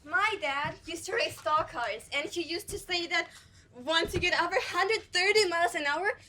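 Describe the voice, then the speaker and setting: A shy, nervous young woman who struggles to speak, played by a teenage girl on stage. Her voice is very high and hesitant, with faint noise behind. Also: sharp tone. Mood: timid. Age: teens. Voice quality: timid, halting. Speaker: student actor. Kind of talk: school stage play acting.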